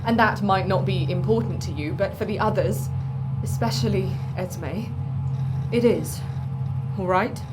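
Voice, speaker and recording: An actress playing a firm, exasperated space crew counsellor in a naturalistic sci-fi audio drama, reasoning pointedly with terse patience. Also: female voice, medium pitch, a little slow, over music, lightly acted. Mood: exasperated, earnest.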